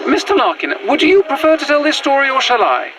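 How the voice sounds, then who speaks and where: A woman's voice: sits high, very animated, fairly quick, background noise. A woman playing a prim Victorian widow revived in another's body, speaking formal old-fashioned in an audio drama. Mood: measured, formal. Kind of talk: found-footage audio drama monologue.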